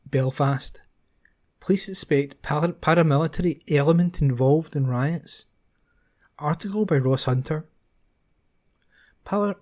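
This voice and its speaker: A man reads newspaper articles aloud at a steady, careful pace, now and then stumbling and restarting a word. His voice sits a little high and sounds mellow and warm. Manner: steady, careful reading with occasional restarts on words. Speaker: volunteer newspaper reader.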